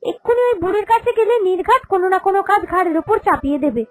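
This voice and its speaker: A woman's voice: extremely high pitch, lively intonation, racing, faint music behind. A woman playing a lazy, indignant daughter-in-law in a cartoon, grumbling and full of excuses. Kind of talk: animated cartoon dialogue.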